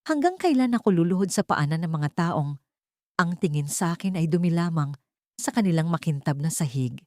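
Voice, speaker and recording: A woman's voice: fairly low pitch, expressive, normal speed, dark tone, no room sound, studio quality. A woman narrating a scripted dramatic audio story in a solemn, emotive manner.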